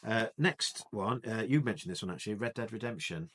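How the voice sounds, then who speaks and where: A man's voice: lowish voice, expressive, fairly quick, fairly bright tone, husky. A man co-hosting a casual film podcast, moving the talk from topic to topic in a plain way. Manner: plain-spoken, drives the topics. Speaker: podcast co-host. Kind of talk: casual chat.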